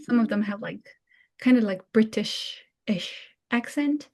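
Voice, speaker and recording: A young woman from Mongolia with a fairly high voice, chatting as a guest speaker. Her talk is informal and casual, sprinkled with "like" as she answers questions. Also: a little slow, a little mellow. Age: young adult. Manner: informal, frequent "like".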